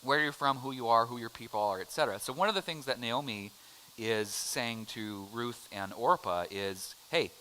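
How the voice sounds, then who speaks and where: A man's voice: medium pitch, moderate pace, bright, forceful tone, a little hiss or hum, poor audio. A middle-aged American man teaching a casual adult Bible class, chatty and joking as he quizzes the room. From United States. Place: church classroom.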